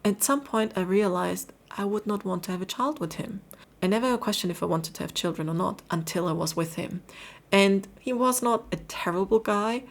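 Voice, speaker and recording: A woman's voice: medium pitch, normal speed. A woman from Austria in her thirties talking candidly to camera in a motivational vlog, chatty and casual with some fillers. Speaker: vlogger.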